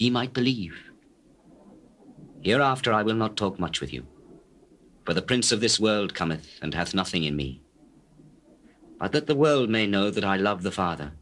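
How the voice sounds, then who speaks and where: Solemn, deep-voiced male holy teacher. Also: a bit bright. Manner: measured, solemn, declamatory. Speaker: actor in dramatized Bible recording. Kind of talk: dramatized scripture reading in archaic English.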